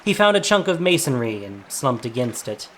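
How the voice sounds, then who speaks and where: Animated audio drama narrator. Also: mid-range voice, normal speed, a little hiss or hum. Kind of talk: scripted dramatic story narration. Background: soft music.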